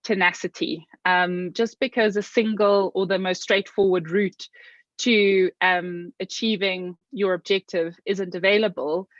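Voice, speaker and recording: A South African woman talking as an interview guest, earnest and reflective, often pausing with "um" and restarting her sentences. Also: medium pitch, fairly even intonation, no echo, dull audio. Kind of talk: interview conversation.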